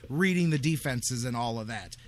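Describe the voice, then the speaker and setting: A man's voice: medium pitch, animated, normal speed, dry recording. A man hosting sports talk radio, arguing his points emphatically and repeating phrases for stress.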